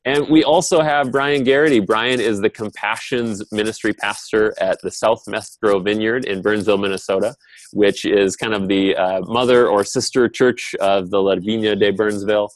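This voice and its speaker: A man with a fairly low voice hosts a webinar panel. He talks informally and conversationally, as on a group call. The sound is dry, with very little room in it. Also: steady tone, normal speed, no echo, poor audio. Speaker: host moderating an online panel. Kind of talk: casual webinar hosting. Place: online video call.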